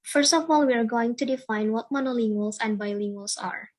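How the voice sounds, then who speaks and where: Woman giving a formal, slightly high-pitched presentation. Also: brisk. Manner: formal, reading from prepared text. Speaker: student presenter. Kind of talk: scripted academic presentation.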